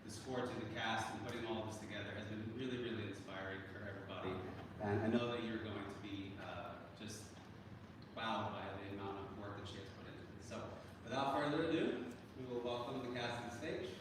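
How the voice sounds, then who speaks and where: A man giving an informal introductory speech as a faculty advisor, halting and searching for words. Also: lowish voice. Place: large performance hall. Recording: very echoey hall, distant mic, clear background noise.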